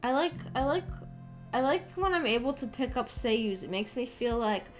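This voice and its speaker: Female streamer, high voice, giggly and rambling, casual chat over background music. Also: animated, normal speed. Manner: giggly, rambling, peppers talk with "like". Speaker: livestreamer, visual novel book club host. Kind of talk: casual livestream chat with viewers.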